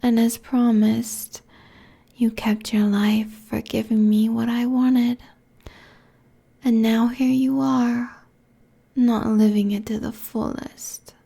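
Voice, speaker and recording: Woman as a slow, whispering seductive demon queen. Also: on the high side, level tone, dark tone, heavily acted. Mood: haughty, seductive. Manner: breathy, slow, condescending whispering. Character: seductive, cruel, haughty succubus demon queen. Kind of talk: intimate ASMR roleplay monologue. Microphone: close binaural microphone.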